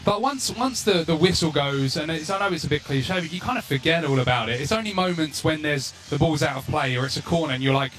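A man's voice: a little high, lively intonation, fast, hardly any pauses, fairly bright tone, a little hiss or hum. A man with an English accent, a former pro soccer player, chatting loosely as a radio guest with many restarts. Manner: rambling, many restarts and fillers. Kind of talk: casual radio chat.

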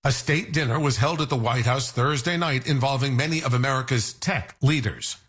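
A man reading scripted news narration in a measured, even newsreader manner. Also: medium pitch. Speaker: news anchor.

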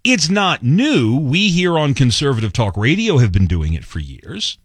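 Male radio talk host, sarcastic monologue, lively swooping pitch, dry close studio sound. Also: normal speed, slightly rough, no room sound. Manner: sarcastic, mocking quotes. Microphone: studio mic.

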